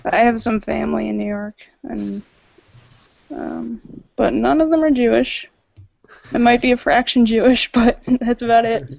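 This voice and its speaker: A woman's voice: on the high side, steady tone, moderate pace, creaky, a little mellow. A woman from New Hampshire asking curious questions in casual chat, with lots of "like". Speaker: caller guest. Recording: dry dull lo-fi audio.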